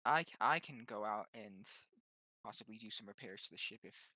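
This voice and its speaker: A man's voice: lowish voice, expressive, normal speed, sharp tone, no echo. A man chatting casually as a player in a tabletop game, hesitant and questioning.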